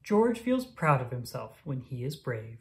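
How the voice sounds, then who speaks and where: A woman with a low-pitched voice narrates a children's picture book. Her intonation swings widely from phrase to phrase, and she delivers each line deliberately and expressively for young listeners. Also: very animated, noisy background. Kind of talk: scripted read-aloud narration of a picture book.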